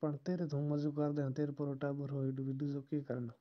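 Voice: medium pitch, flat delivery, moderate pace. A caller talking casually and privately on a recorded phone call.

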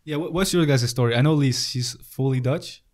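A man's voice: mid-range voice, brisk. A young man from Italy hosting a casual podcast chat, laid-back, with loose fillers and frequent laughs. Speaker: podcast host.

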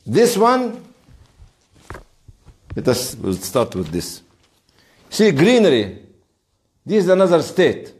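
Animated man lecturing a class. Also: a little high, slow, long pauses. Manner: emphatic storytelling with rhetorical questions. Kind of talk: informal interactive classroom lecture.

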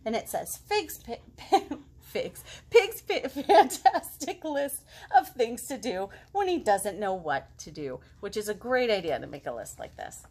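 A woman's voice: a little high, sing-song, unhurried, darkish tone. A woman in her thirties hosting a children's storytime, speaking simply and encouragingly to young listeners. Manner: simple, encouraging talk addressed to small children. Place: living room at home.

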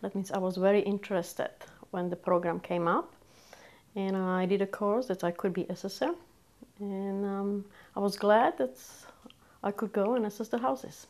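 A woman's voice: medium pitch, steady tone, normal speed, darkish tone. A woman in her sixties from the Czech Republic, with a Czech accent, earnestly explaining her volunteer work in a sit-down interview in a small office with bookshelves. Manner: earnest, non-native phrasing, keen. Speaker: community volunteer.

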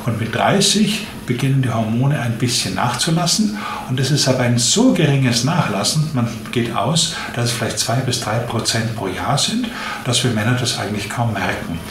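A man in his late fifties giving measured explanations as a doctor being interviewed. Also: mid-range voice, lively intonation, fairly bright tone. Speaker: interviewed physician. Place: small indoor room, seated at a desk. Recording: echoey room with clear background noise.

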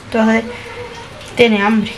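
A woman's voice: fairly high pitch, little pitch movement, very slow, background noise. A young woman, chatting to camera in a rambling way as she narrates her chores in a small kitchen. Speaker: family vlogger. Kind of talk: casual vlog chat to camera. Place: small home kitchen indoors.

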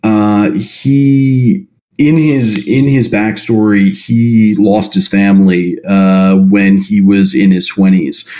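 A man's voice: fairly low pitch, flat delivery, takes it slowly, dark tone. A man answering as a podcast guest, explaining things at length in a thoughtful, hesitant conversational way. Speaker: podcast guest, role-play writer.

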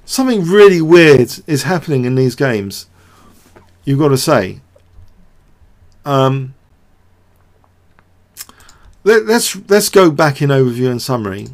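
A man's voice: mid-range voice, big pitch swings, a little slow, long pauses. A man giving off-the-cuff game commentary as a show host, hesitant and thinking aloud with repeated words.

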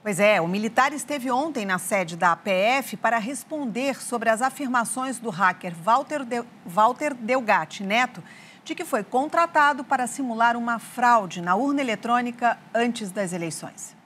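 A Brazilian woman anchor reads the news in a polished, composed way, her voice slightly high. A faint studio ambience sits behind her. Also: lively intonation, normal speed, fairly bright tone, slight background noise. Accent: Brazilian Portuguese.

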